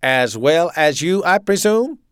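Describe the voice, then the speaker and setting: A menacing German camp commandant in an audiobook. The voice is pitched high and swoops widely, with clipped, staccato phrasing and a demanding, authoritarian edge. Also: male voice, expressive, a little slow, a bit bright, smooth, dry recording, clean studio sound. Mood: politely probing.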